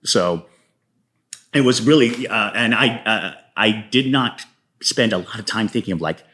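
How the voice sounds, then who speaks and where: A man's voice: on the low side, animated, measured pace, pushed and bright. A man talking casually as a guest playwright in an interview, telling stories and slipping into quoted lines. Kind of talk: casual interview talk about own writing.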